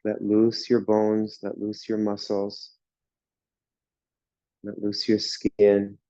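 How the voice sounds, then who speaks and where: A man guiding a yoga class through slow breathing and relaxation, speaking in calm, soothing instructions. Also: on the low side, no echo, highs cut off. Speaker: yoga teacher.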